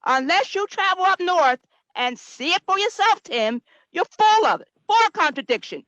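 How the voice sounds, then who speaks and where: A woman's voice: very high voice, expressive, moderate pace, fairly bright tone, no echo, highs cut off. A business-owning woman bluntly and indignantly making her point in a panel debate, repeating herself over others. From lives in Philadelphia.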